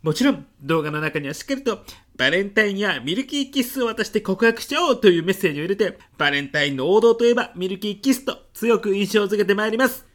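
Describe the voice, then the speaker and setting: A man in his fifties playing an earnest department manager who presents in a young woman's girlish manner, in polite, expressive business speech for a comedic radio drama. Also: sits high, fast. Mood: gushing, dreamy. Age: 50s.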